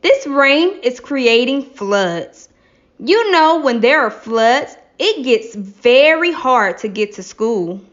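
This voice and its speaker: A woman voicing an earnest schoolchild in a classroom play, with exaggerated, clearly enunciated delivery. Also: a little high, sing-song, slow. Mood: worried. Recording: slightly dull lo-fi recording.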